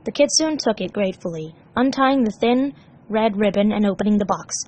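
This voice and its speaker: A woman's voice: mid-range voice, animated, a little fast, a little mellow, rough recording. A woman narrating a scripted story as a fan fiction reader.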